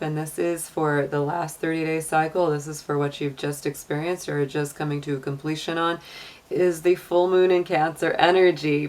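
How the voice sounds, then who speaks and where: A woman with a low, deep voice that sounds a bit hoarse. She talks in a casual, conversational way as a tarot reader guiding listeners through an astrology reading on her channel. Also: a little mellow. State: slightly hoarse.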